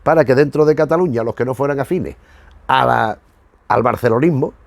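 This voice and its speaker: A man from hosts a casual commentary in a very dry recording. His voice sits at an average pitch. He digresses and circles back, rewording phrases as he searches for the right one. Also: a little fast, creaky, no echo. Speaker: YouTube host reviewing retro cartoons.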